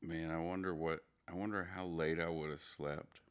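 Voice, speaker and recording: A man hosting a casual morning livestream, greeting viewers by name in a drowsy, rambling way with a low, gravelly morning voice. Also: level tone, normal speed. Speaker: livestream host.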